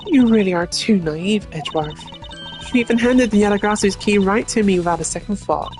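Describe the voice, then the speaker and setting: A woman's voice: medium pitch, fairly quick, a little mellow, soft background music, natural voice. A woman voicing a gloating female master thief and killer in a video game, theatrical and mocking. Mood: smug taunting.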